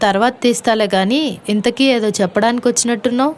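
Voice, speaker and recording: A woman's voice: a little high, quick pace, lightly acted. A woman playing a wry, teasing young college student in a comedy audio drama. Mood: amused, testing. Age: young adult.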